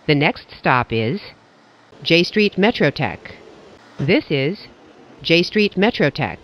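A train announcer reading scripted transit announcements in a clipped, measured, formal way. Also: medium pitch, big pitch swings, a bit bright. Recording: dull lo-fi audio with clear background noise.

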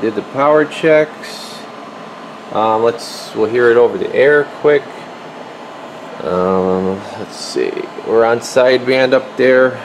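A man's voice: medium pitch, takes it slowly. A man giving a casual hands-on demonstration at a workbench, informally muttering to himself as he works. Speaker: radio repair technician, video host. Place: indoor radio workshop at an electronics bench. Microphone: handheld camera, behind the lens. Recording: noisy room with steady equipment hum.

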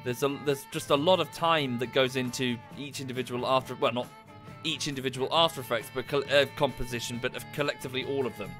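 A man's voice: mid-range voice, fairly even intonation, fast, hardly any pauses, fairly bright tone, faint music behind. A man livestreaming from home, chatting casually and thanking viewers, a little nasal and bunged up from a cold. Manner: rambling, thanks viewers in passing. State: coming down with a cold.

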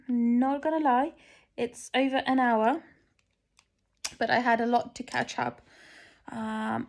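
A young woman with a Lithuanian accent talks in a loose, rambling way, full of ums, as she stitches. Her voice sits a little high with a gravelly fry at the ends of her phrases. She is recorded on a phone indoors at home. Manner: rambling, frequent ums, chatty and candid. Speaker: stitching vlogger. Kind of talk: casual chat while stitching. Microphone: phone camera looking down at the stitching, speaker off frame.

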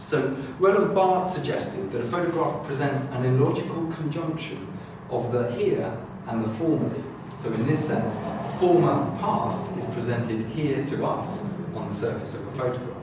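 A middle-aged man lectures to a class, stumbling over restarts and fillers as he works through his ideas. He is picked up from across a very echoey room, and a clear hum of room noise sits under his voice. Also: medium pitch. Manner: halting, many restarts and fillers. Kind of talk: academic lecture. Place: classroom with a screen, indoors. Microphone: far camera. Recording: echoey room, far from the camera mic.

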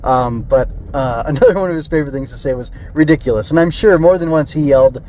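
A man's voice: fairly high pitch, quick pace, darkish tone, slight background noise. A middle-aged man hosting a solo podcast, talking casually and rambling with digressions. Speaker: podcast host. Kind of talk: casual solo review chat.

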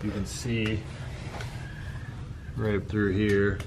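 A man in his thirties chatting casually as he explains repair work outdoors, halting and joking as he goes. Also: on the low side, level tone. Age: 30s. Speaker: vlog host doing barn renovation. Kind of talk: casual chat while working, explaining repairs. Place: outdoors beside a metal barn. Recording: noisy outdoor camera audio.

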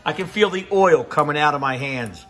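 A man's voice: on the high side, lively intonation, normal speed, bright, forceful tone, faint music behind. A man in his fifties filming himself on a phone while he cooks at home, raving about fresh ingredients and repeating his catchphrases. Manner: enthusiastic, repeats catchphrases, sniffs and tastes food while talking. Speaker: home cooking vlogger. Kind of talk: casual cooking vlog. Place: home kitchen indoors, then outdoor deck at a grill. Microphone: handheld phone camera.

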